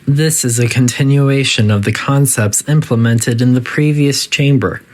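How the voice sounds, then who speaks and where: A synthetic voice playing a politely detached, quietly menacing artificial intelligence that makes formal, deadpan announcements in a video game test facility. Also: mid-range voice, normal speed, close to an everyday voice. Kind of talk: scripted game announcer narration.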